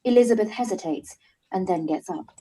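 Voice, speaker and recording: Brief: stage-direction narrator, woman, warm and husky. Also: mid-range voice, fairly quick, poor audio.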